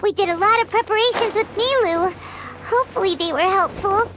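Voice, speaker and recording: A woman's voice: very high voice, fairly quick, noisy background. A woman voicing a tiny, chirpy, eager childlike fairy girl in an anime game. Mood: excited. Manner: refers to herself in the third person.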